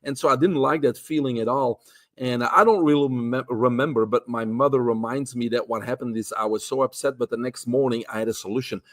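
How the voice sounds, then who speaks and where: A man's voice: medium pitch, fairly quick. Belgian-accented man in his fifties, easygoing guest, chatty storyteller with filler words. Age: 50s. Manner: relaxed storytelling with many fillers. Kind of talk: casual interview chat.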